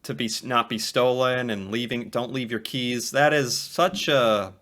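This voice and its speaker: Man from the United States, bright voice, mid pitch, musing and conversational. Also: a little slow. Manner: musing, conversational, laughs a little. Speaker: co-host reacting to a video. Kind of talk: casual reaction commentary.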